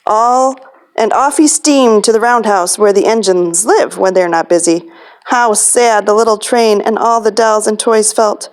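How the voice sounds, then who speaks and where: A woman's voice: mid-range voice, fairly even intonation, a little slow, clear, resonant tone. A woman hosting a children's storytime as a librarian, reading a picture book aloud.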